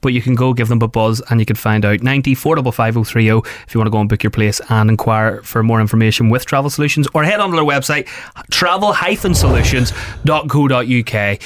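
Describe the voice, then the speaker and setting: A man's voice: mid-range voice, fast talker, no echo, clean studio sound. A man of thirty, a radio breakfast host chatting casually and jokily, a bit worn out. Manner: chatty and jokey, a bit tired. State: tired. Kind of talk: casual podcast chat.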